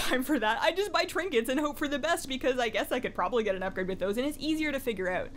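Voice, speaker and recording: A woman's voice: fairly high pitch, a bit bright, a little hiss or hum. A woman chatting casually as a gaming vlogger, in a rambling, conversational and self-deprecating way. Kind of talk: casual chatty vlog about an online game.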